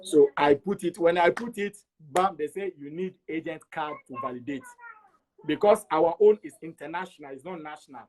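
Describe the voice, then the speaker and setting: Higher-voiced Togolese man telling his story. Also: normal speed, darkish tone, rough recording. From Togo. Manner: repeats words for emphasis, acts out quoted dialogue. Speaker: interview guest. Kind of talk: animated personal storytelling.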